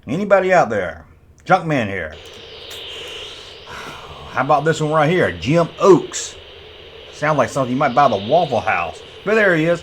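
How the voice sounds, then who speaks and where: A man's voice: medium pitch, a bit bright. A folksy man hosting a toy review, chatting casually with playful CB slang and repeating himself. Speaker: toy review video host. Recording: faint background noise.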